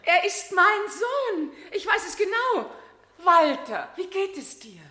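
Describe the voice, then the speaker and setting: Insistent high-voiced emotional mother. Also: sing-song, takes it slowly, pushed and bright, heavily acted. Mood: pleading. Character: insistent, emotional mother claiming her lost son. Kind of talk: 1970s German radio play acting. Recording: echoey room.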